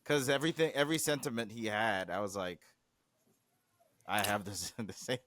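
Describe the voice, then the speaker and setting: A man's voice: lowish voice, normal speed, a bit bright, slight background noise. A man whose first language is Swahili, a music producer talking animatedly in a casual interview, often trailing off and restarting.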